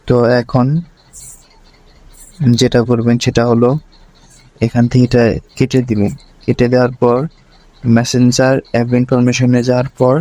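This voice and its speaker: A man's voice: mid-range voice, dark tone, poor audio. A man presenting a screen tutorial step by step, linking each instruction to the last in a repetitive way.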